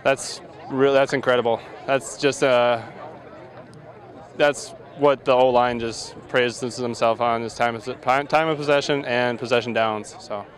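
A man's voice: mid-range voice, steady tone, unhurried. A young man, a college football player, giving easygoing short postgame answers outdoors on a field. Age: young adult.

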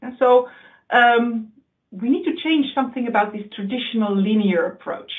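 A woman giving a webinar talk from slides, explaining in a measured way with occasional slips and restarts. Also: on the high side. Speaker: research presenter. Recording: tinny phone-like audio.

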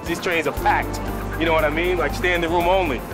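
A man's voice: a little high, steady tone, fairly quick, loud music behind the voice. A man working as a rail yard foreman, explaining train cleaning conversationally. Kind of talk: casual explaining.

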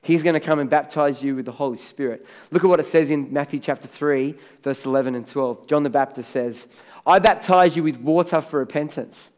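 A middle-aged Australian man preaches from a large church stage, his voice sitting a little high for a man. He talks in a conversational way, then presses up hard on the words he wants to land. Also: brisk, a little mellow. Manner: conversational preaching, rising to emphatic on key words. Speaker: pastor. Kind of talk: sermon.

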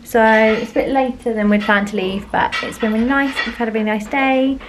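A woman's voice: a little high, fairly even intonation, fairly quick. Chatty young British woman, noisy vlog recording. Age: young adult. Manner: chatty, informal. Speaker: vlogger. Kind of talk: casual vlog chat. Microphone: handheld camera at arm's length. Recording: noisy handheld vlog, room and car sounds.

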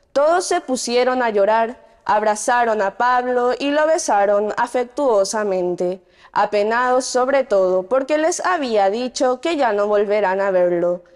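A woman's voice: fairly high pitch, a little mellow. A young woman reading scripture at Mass as a lector. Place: indoor chapel. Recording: long chapel reverb.

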